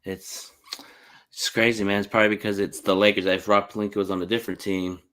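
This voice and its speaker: Fast-talking, clear-voiced man. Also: lowish voice, level tone, sharp tone. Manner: informal, slangy. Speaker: podcast host, interviewer. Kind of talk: casual sports podcast chat.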